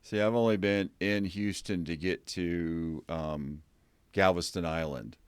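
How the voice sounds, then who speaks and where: Guy hosting a podcast on a video call, lowish smooth voice, kinda hesitant, corrects himself, dry sound. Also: steady tone, measured pace, no echo. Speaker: podcast host. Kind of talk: casual interview over video call.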